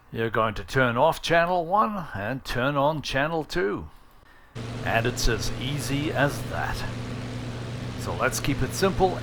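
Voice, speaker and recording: Man, tutorial presenter, plain-spoken, instructive, casual scripted narration, mid-pitched. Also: fairly bright tone. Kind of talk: casual scripted narration for a flight sim how-to.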